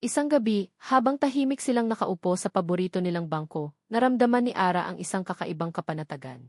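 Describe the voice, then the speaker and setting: A woman with a Filipino accent narrating a scripted love story in a measured, expressive, dramatic way. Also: fairly low pitch, no room sound, studio quality.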